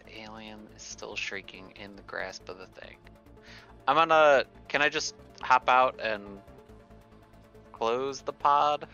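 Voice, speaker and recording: Bright-voiced man on dull lo-fi audio. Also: mid-range voice, slow pace, gravelly, soft background music. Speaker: player. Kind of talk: casual tabletop game chat.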